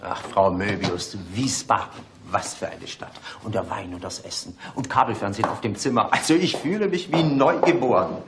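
Radio play inspector, male actor: blissful, rhapsodic hypochondriac, set against clearly noisy background. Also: on the low side, moderate pace, hardly any pauses, close to an everyday voice. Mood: blissful, gushing. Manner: gushing, rhapsodic. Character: cheerful, effusive male police inspector, hypochondriac. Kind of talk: German radio play acting.

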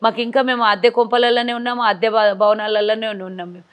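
A woman's voice: fairly high pitch, flat delivery, quick pace. A woman, a party politician giving combative interview answers full of rhetorical questions, recorded outdoors on a lapel mic.

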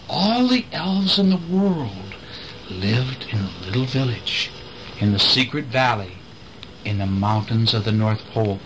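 A middle-aged man reading a picture storybook aloud to a child in an expressive way, with chatty asides and questions. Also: mid-range voice. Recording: home recording with faint background noise.

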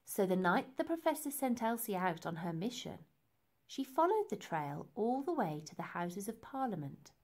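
A woman reading a children's story aloud in an expressive, deliberate and emphatic way. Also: medium pitch. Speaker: storybook reader.

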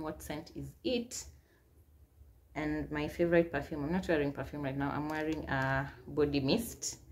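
A young woman with a Kenyan accent speaks in a deep, low voice, reading out questions and answering them in a relaxed, chatty way. Swahili phrases slip into her talk now and then. She sits close to the camera in a small room, and a faint hiss runs under the recording. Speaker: YouTuber. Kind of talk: casual Q&A vlog. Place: small indoor room, seated at a plain wall.

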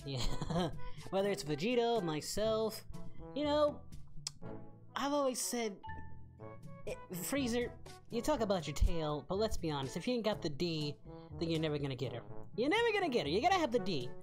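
A man playing an excitable, lecherous, cheerful anime warrior in a parody, hosting a casual reaction video in character. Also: high-pitched, normal speed, pauses often, over music. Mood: amused, smug. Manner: excitable, bawdy, exclaiming.

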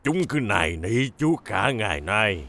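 Animated kindly older builder. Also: male voice, fairly low pitch, unhurried, gravelly, exaggerated voice. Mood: easygoing. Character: kindly older male builder, fatherly and responsible. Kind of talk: dubbed children's cartoon acting.

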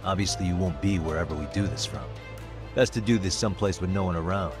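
A man's voice: sits low, quick pace, music in the background, natural voice. An actor playing a cool, decisive detective in his thirties, terse and matter-of-fact, in a video game drama. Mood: businesslike.

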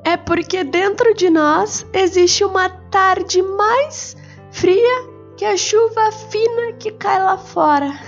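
A woman voicing a patient, wistful mother who speaks softly and poetically. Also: extremely high pitch, slow, pitched above the performer's own voice, full character voice. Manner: soft, soothing, poetic. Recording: slightly muffled with soft music.

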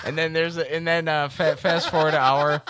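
A man's voice: fairly high pitch, level tone, moderate pace, heavy background noise. A young American man who stutters on some words, co-hosting a comedy podcast with crude, joking, slangy storytelling. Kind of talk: casual comedic banter and storytelling. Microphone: podcast microphone.

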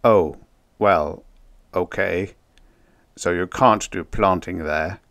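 A man's voice: sits low, slow pace, fairly bright tone, no room sound, studio quality, exaggerated voice. A man voicing an earnest, well-meaning spaceman who is insistent and plain-spoken, in a story reading.

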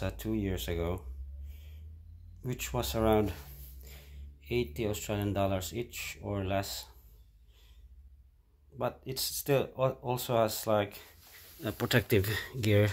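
A middle-aged man with a Filipino accent, off camera in a carpeted room, speaking in a halting, casual way with many fillers and restarts as he shows an item to a handheld phone camera. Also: fairly low pitch. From Philippines. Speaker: product reviewer. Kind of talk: casual unboxing commentary.

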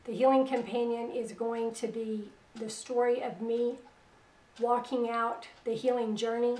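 A middle-aged woman hosting religious internet radio. Her voice is weak after a stroke, and she talks in a soft, plain-spoken, unhurried way. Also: on the high side, little pitch movement, echoey room, far from the mic, low-quality recording. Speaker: radio host. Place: small room at home, indoors. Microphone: headset.

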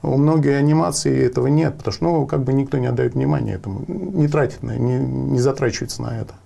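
A man's voice: mid-range voice, steady tone, fast talker, gravelly, dark tone, breathy edge. A middle-aged man answering in an interview as a sound engineer, earnestly repeating and rephrasing his points. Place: sound studio, indoors. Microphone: lapel.

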